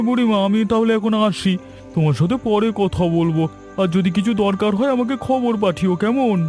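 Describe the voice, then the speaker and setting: Man acting a high-voiced, scheming young errand man. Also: a little fast, a little mellow, faint music behind, natural voice. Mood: wheedling. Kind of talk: Bengali cartoon voice acting.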